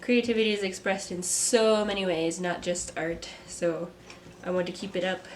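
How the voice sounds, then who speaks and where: A young woman, a mother and artist, talking to camera from a script in a soft, reflective vlog; she sounds stuffy and congested. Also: fairly low pitch, flat delivery, moderate pace, slight background noise, poor audio. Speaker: vlogger, artist. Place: home. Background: kids playing.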